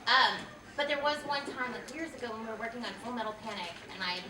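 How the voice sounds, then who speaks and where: A woman's voice: fairly high pitch, expressive, quick pace. A woman voice actress telling candid stories on a convention panel. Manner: candid storyteller, laughs through her anecdotes. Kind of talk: casual panel talk. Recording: echoey hall, distant mic, loud noise.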